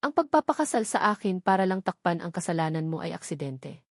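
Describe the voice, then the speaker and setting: A woman's voice: on the low side, big pitch swings, fairly quick, no echo, studio quality. A woman voicing a bitter, unyielding wife in an audio drama, accusing and emphatic.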